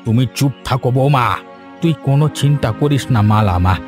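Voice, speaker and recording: A man's voice: mid-range voice, brisk, a little mellow, soft background music, natural voice. A man voicing a kindly elderly village uncle in a cartoon, talkative and neighborly. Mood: friendly.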